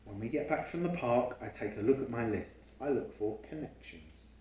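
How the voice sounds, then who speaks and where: A man reading a picture book aloud to a child at home, pausing to explain and ask questions along the way. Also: mid-range voice, expressive, dark tone, recorded from a distance, slight background noise. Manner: explains and asks questions as he reads. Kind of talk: storytime read-aloud with chatty asides to a child. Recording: roomy, echoey home recording.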